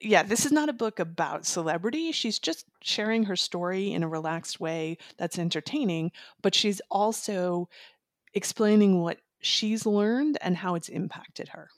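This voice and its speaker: A woman's voice: mid-range voice, normal speed, dry recording, studio quality. A woman giving a conversational book review as a guest on a talk show.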